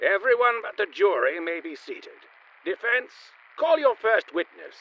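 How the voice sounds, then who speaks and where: An actor playing a stern, commanding judge in a comic radio play. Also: male voice, high-pitched, very animated, measured pace, heavily acted. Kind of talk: comedic radio play acting. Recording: noisy, dull radio-like recording.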